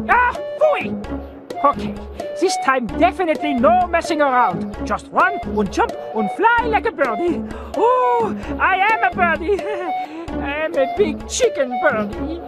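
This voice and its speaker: A woman voicing a cowardly, excitable inventor who dreams of flying, in a cartoon game fan dub. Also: very high-pitched, slow, loud music behind the voice, heavily acted. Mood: jittery. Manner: nervous, hesitant, exclaiming. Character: cowardly, excitable would-be flyer inventor, an adult.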